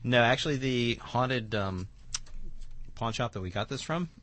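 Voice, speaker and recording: A man's voice: medium pitch, expressive, measured pace, a bit bright. A man chatting casually as a guest, with dry humour. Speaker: show guest. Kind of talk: casual chat.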